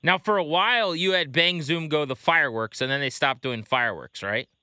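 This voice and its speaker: A man's voice: a little high, fairly quick, pushed and bright, clean tone, dry recording, studio quality. An American man hosting a baseball show, interviewing in a casual, conversational way.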